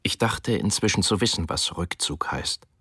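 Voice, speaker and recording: An actor reading the diary of a shaken war reporter for a radio drama, solemn and deliberate. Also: male voice, low-pitched, pushed and bright, husky, no room sound, clean studio sound, exaggerated voice. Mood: grave.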